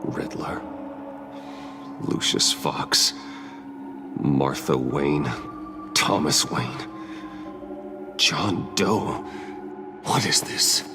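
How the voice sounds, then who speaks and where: A stoic male detective from a game drama, terse and controlled. His voice is deep and unhurried, with music underneath. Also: exaggerated voice. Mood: grave, puzzled. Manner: terse, low-key, controlled. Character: stoic, determined adult male detective hero. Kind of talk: video game drama acting.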